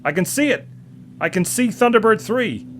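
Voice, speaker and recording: Guy doing a brave young rescue pilot, urgent, pitched up, engine noise behind, audio story. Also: lively intonation, moderate pace. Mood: excited, urgent. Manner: urgent, determined. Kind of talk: audio story character acting.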